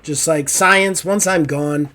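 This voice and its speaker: A man hosting a casual podcast, hoarse and raspy after an illness, joking and swearing freely. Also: a little high, takes it slowly, bright, forceful tone. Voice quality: raspy, strained throat. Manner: joking, profane, self-mocking. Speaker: podcast host.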